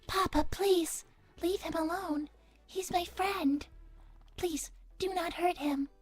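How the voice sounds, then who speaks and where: Casting: female performer as polite artificial-intelligence daughter, childlike high voice, slow and pleading, faint music underneath. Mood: upset, reproachful. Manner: stilted, careful, plaintive. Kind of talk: in-character livestream chat.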